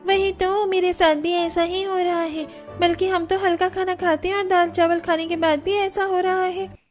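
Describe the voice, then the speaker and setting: A woman's voice: extremely high pitch, little pitch movement, moderate pace, faint music behind, pitched above the performer's own voice. A woman voicing an aggrieved young woman in an Indian family cartoon, complaining insistently. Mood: suspicious, complaining. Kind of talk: acted cartoon story dialogue.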